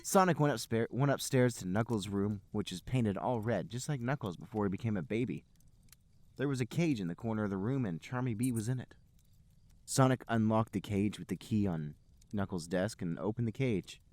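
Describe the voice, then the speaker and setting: A man's voice: on the low side, brisk, a little mellow, no room sound. A man hosting a podcast, reading comedic narration aloud. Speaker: podcast host and reader.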